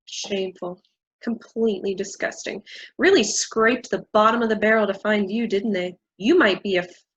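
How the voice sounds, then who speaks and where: A woman's voice: medium pitch, fairly even intonation, normal speed, fairly bright tone, close to an everyday voice. A woman playing a haughty, scornful, condescending character in a staged play reading. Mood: disgusted. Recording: dull lo-fi recording.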